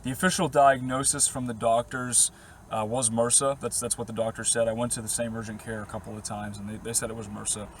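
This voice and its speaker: A young man with a slightly hoarse, worn voice talks casually and rambles easily, with plenty of fillers and short laughs. His pitch sits in an ordinary middle range. Faint outdoor noise hums behind him in his parked camper van. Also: little pitch movement, brisk. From Boston area. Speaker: vlogger answering viewer questions. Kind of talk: casual chat to camera.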